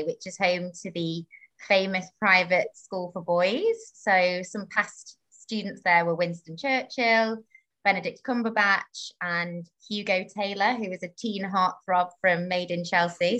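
Bright-voiced woman podcast guest. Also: fairly low pitch, fairly even intonation, moderate pace, low-quality recording. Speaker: guest, job-sharing coach. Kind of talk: casual interview chat.

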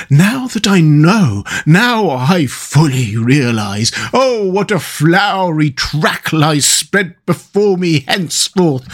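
A man voicing a boastful male toad who is dreamy and besotted with motor cars, talking slowly and rapturously. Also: a little high, fairly bright tone, husky, voice pushed up, exaggerated voice. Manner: slow, rapturous, sing-song.

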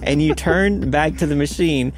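A man hosting a podcast, telling anecdotes casually in an animated, conversational way. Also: fairly high pitch, moderate pace, over music. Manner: animated storytelling with mimicked sounds. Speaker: podcast host.

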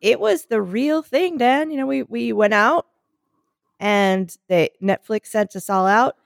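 A woman with a smoky, scratchy voice, roughened by a sore throat and full of gravelly fry. She chats loosely on a podcast and restarts her sentences often. The recording is close and dry. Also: fairly high pitch, unhurried, no room sound. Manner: chatty, rambling storyteller with many restarts. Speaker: podcast co-host. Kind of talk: casual chat.

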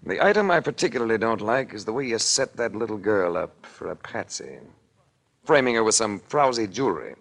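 Low-voiced, cool radio detective. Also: male voice, sing-song, fairly quick, creaky, fairly bright tone, exaggerated voice. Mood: coolly accusing. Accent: American. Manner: unflappable, dryly sardonic. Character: cool, wry male investigator in crime drama, narrating his case. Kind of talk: 1940s radio detective acting. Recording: vintage 1940s radio recording.

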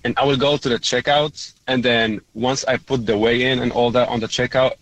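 A man's voice: mid-range voice, steady tone, fairly quick, a bit bright, dry recording, rough recording. Male caller, Arabic first language, rambling and chuckling, dry sound. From Lebanon. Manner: rambling, many fillers, laughs often. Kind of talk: casual radio call-in chat.

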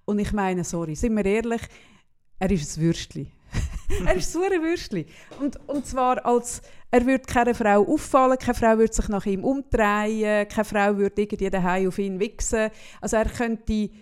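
Young swiss german woman co-host, blunt and outspoken, smooth clear voice. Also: mid-range voice, a little mellow. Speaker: podcast co-host. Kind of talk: casual chat.

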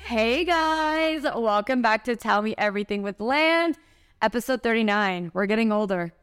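A woman's voice: fairly high pitch, animated, fairly bright tone, clear, resonant tone, no room sound, studio quality, soft background music. Bubbly young woman hosting a podcast. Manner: bubbly, chatty, quick to laugh. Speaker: podcast host. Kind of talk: casual interview chat.

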